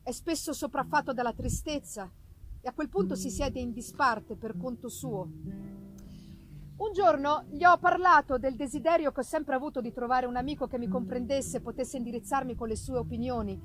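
A woman reading a literary novel aloud as dramatic narration, measured and expressive, with deliberate pauses. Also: sits high, music in the background, poor audio. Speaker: reader of a novel. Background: live musical accompaniment announced for the reading.

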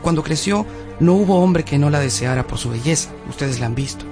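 Higher-pitched, knowing local guide. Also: male voice, animated, a little fast, a little mellow. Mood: wry, explanatory. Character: knowing, wry local male guide, deferential to his master. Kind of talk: radio drama acting. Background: music.

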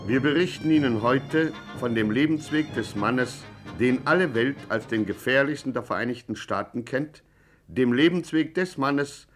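An actor as a mock-serious documentary narrator in a vintage radio play, formal and measured. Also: male voice, medium pitch. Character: solemn mock-documentary male narrator of gangster chronicle. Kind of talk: scripted 1950s radio narration.

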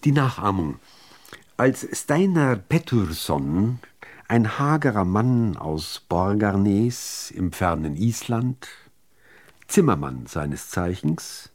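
Slow, expressive man reading literary prose. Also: on the low side. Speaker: narrator, reader of literary prose and poems. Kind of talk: scripted literary narration for radio.

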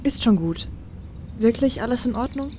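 A woman acts out a young, caring companion in a fantasy audio drama. Her voice is soft, mellow and relaxed, affectionate yet resolute. Birdsong and a little background noise surround her. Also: fairly high pitch, moderate pace, natural voice. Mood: concerned.

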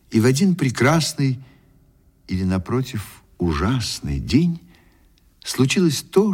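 A man narrating a literary radio play theatrically, with deliberate pauses and wry rhetorical questions. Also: mid-range voice, a little mellow, husky. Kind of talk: dramatic literary storytelling for radio.

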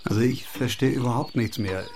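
Actor as a bewildered, fastidious city man. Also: medium pitch, background noise, close to an everyday voice. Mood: confused. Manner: hesitant, repeating himself, appalled. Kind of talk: audio drama acting.

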